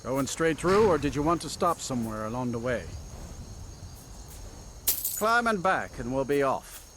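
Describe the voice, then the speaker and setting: A man's voice: mid-range voice, very animated, a little slow, slight background noise. A man voicing an easygoing, plain-spoken carriage driver in a fantasy video game, with a folksy, matter-of-fact manner. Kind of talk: scripted video game dialogue. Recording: game audio under loud outdoor ambience.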